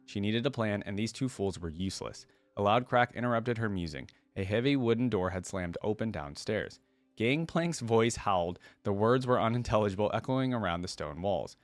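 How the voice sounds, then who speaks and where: A man's voice: fairly low pitch, animated, normal speed, dry recording. A man hosting a reaction video, reading a story aloud and adding casual, conversational commentary.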